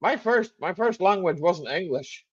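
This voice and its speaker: A Highland Scottish man with a fairly high voice chats on a livestream, and his pitch jumps around a lot as he jokes and laughs. He peppers his talk with "aye" and "eh". The stream audio sounds dull and lo-fi. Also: lively intonation, unhurried, slightly rough. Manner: jokey, laughs often, lots of "aye" and "eh". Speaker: livestream host. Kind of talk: casual live chat.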